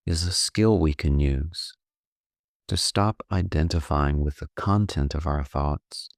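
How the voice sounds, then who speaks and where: Male meditation guide, extremely deep voice, dry studio-like sound, calm and gently instructive, taking his time between phrases. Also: dry recording. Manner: calm, unhurried, gently instructive with long pauses. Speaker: meditation coach.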